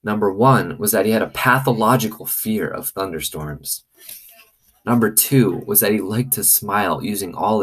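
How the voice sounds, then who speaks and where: A man's voice: low voice, a bit bright, breathy edge. A man reading a children's novel aloud like a teacher, with commentary along the way. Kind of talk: expressive storybook reading with commentary.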